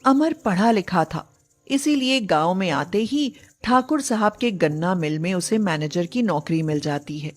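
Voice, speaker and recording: A woman's voice: lowish voice, lively intonation, unhurried. A woman reading scripted narration for a moral story, as a storyteller.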